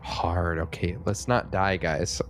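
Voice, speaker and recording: A man's voice: sits low, steady tone, slow. A man playing a tabletop roleplaying game, casually narrating his character's moves and chatting.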